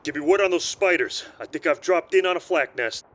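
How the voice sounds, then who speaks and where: A man voicing a gruff, battle-hardened lieutenant piloting a war machine in a military audio drama, with clipped, muttered or snarled callouts. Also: on the high side, a little fast, fairly bright tone, pitched above the performer's own voice, natural voice. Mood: angry, urgent.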